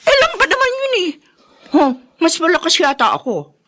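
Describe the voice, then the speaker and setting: A woman's voice: extremely high pitch, huge pitch swings, normal speed, pushed and bright, exaggerated voice. A woman with a Filipino accent playing a brash, boastful mistress in a radio drama. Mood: boastful, scheming.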